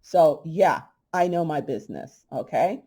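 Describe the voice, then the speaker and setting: Blunt, slightly hoarse woman host. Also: low voice, expressive, unhurried, mellow. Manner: blunt, emphatic, conversational. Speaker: holistic health channel host. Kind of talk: casual monologue to camera.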